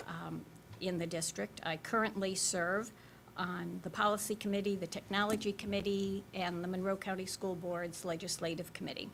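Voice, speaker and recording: A woman in her fifties giving a measured, formal candidate statement as a school board member. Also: mid-range voice, slight background noise. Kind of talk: candidate statement at a public forum.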